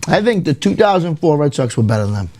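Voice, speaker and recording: A man's voice: on the high side, sing-song, fast, darkish tone. A man co-hosting a casual sports chat, blunt and opinionated. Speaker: co-host.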